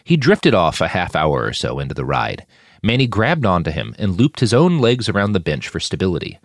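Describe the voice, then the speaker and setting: A man reading scripted action fiction aloud in an expressive, dramatic narrating style. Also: deep voice, brisk, dry recording, studio quality. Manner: expressive, shouts out characters' lines. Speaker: audiobook narrator reading own fiction podcast.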